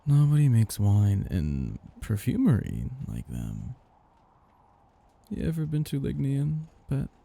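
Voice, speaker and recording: Casting: male actor as ancient vampire master for ASMR roleplay, very dark and breathy, gravelly edge, possessive, teasing. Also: medium pitch, huge pitch swings, slow pace, heavily acted. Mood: languid, musing. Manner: languid, teasing, condescending endearments, soft chuckles. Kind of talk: intimate ASMR roleplay monologue.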